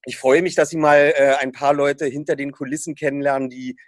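A man's voice: fairly high pitch, flat delivery, brisk, no room sound. A man conducts a friendly interview in a slightly raised voice. He stops often to search for words. The recording is close and dry. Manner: hesitant, lots of fillers. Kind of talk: casual interview.

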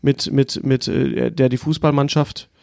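A man's voice: mid-range voice, steady tone, unhurried, vocal fry, a little mellow, dry recording, clean studio sound. A man talking casually about films as a podcast co-host. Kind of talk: casual film discussion.

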